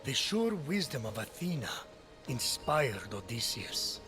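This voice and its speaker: Grave mythic game narrator, wise immortal, formal, measured delivery, middle-pitched, music underneath. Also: male voice, sing-song. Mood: grave, storytelling. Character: stern, measured, wise immortal male storyteller of Greek myths. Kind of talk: scripted narration. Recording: music behind the voice.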